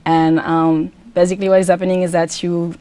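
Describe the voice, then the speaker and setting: A woman's voice: on the low side, flat delivery, dark tone. A young woman from Congo with a French accent, a pageant contestant answering interview questions earnestly, often restarting her sentences. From Democratic Republic of Congo. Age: young adult. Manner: earnest, halting with many restarts. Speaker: pageant contestant, student guest. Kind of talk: casual interview talk.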